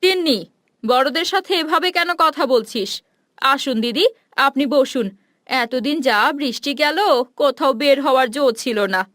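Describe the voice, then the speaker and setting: A woman's voice: high voice, normal speed, a bit bright. A woman voicing a practical village mother in a cartoon, homely, fussing and mildly scolding. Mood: scolding, polite. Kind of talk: cartoon fairy-tale voice acting.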